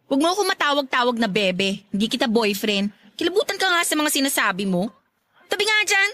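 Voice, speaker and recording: A woman's voice: sits high, big pitch swings, a little fast, heavily acted. A woman acting a feisty, disdainful young woman in a radio drama, scolding and haughty as she rebuffs a suitor. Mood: irritated. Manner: scolding, haughty, sharp-tongued.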